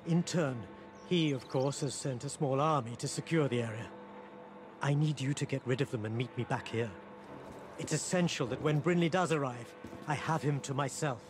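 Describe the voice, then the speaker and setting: An actor playing an exiled Indian maharaja in a game cutscene, earnest, dignified and resolute, speaking formally and with measure. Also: male voice, mid-range voice, moderate pace, over music, natural voice. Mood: earnest, composed. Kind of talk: video game cutscene acting.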